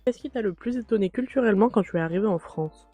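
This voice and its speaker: Fast-talking woman with a warm, mellow voice, reading set interview questions over faint music. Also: mid-range voice, husky.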